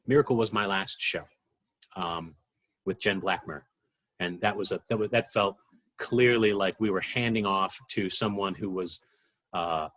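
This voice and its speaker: A man reflecting in an interview, halting and thoughtful as he picks his words. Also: low-pitched, a bit bright.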